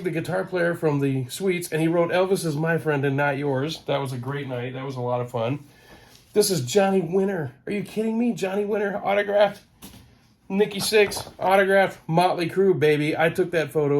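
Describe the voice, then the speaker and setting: A man with a head cold, sounding nasal, hosting a casual collector vlog from his home office in an excitable, rambling way that repeats words. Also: on the high side. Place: home office, ten-foot ceiling. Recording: roomy home office, mic at a distance.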